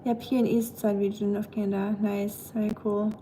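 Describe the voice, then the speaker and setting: A woman's voice: mid-range voice, little pitch movement, moderate pace, dark tone, slight background noise. A young woman with a German accent, chatting casually with her livestream while she cooks at home. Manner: chatty and friendly, lots of fillers, talks while working. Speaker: vlogger livestreaming. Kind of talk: casual chat reading live comments while cooking. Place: home kitchen, clattering pots and pans behind. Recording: echoey kitchen with clattering pots.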